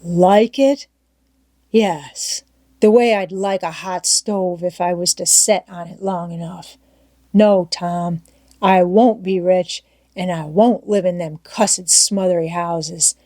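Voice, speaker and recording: Storybook role: rough young vagabond boy, played by a woman, grumbling rustic dialect, stubborn, dry close sound. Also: fairly low pitch, fairly even intonation, takes it slowly, a little mellow, no room sound. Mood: grumbling, weary. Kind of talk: storybook character voice in audiobook reading.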